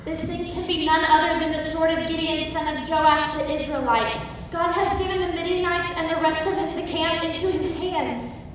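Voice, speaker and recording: A woman's voice: very high-pitched, steady tone, moderate pace, few pauses. A woman playing an awed, frightened ancient camp dweller, exclaiming urgently in a stage drama. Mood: alarmed, awed. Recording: distant, echoey hall, faint noise.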